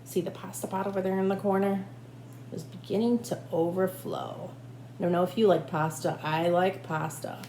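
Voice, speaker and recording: A woman teacher reading a picture book aloud in an animated way, with asides to young listeners. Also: fairly low pitch, moderate pace. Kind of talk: expressive children's read-aloud with asides to listeners. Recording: echoey room with faint background noise.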